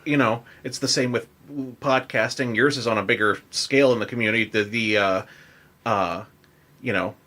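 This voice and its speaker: A man's voice: fairly low pitch, moderate pace, sharp tone. An American man hosting a podcast, chatting casually in a loose, rambling, informal way. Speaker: podcast host. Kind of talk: casual interview chat.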